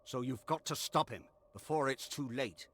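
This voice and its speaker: A man's voice: medium pitch, normal speed, a bit bright, a little hiss or hum, natural voice. A man playing an intense, scholarly mage in a fantasy video game, articulate and dramatic, fascinated by powerful magic. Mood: ominous. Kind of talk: video game character dialogue. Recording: music behind the voice.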